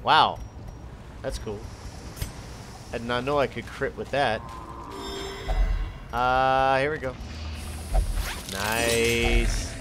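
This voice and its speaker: A man's voice: medium pitch, fairly even intonation, extremely slow, long pauses. A man with a head cold giving casual, chatty commentary while playing a game, cheering his characters on. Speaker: gaming channel host. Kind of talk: casual let's-play commentary. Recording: voice over game music.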